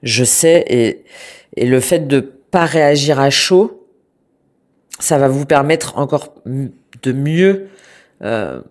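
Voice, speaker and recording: A woman with a slightly stuffy, congested voice talks through a tarot reading for her viewers. She hesitates a lot, circling back with fillers and restarts, yet keeps a gentle, reassuring tone. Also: extremely low pitch, expressive, slow, pauses often. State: has a cold, congested. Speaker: tarot reader.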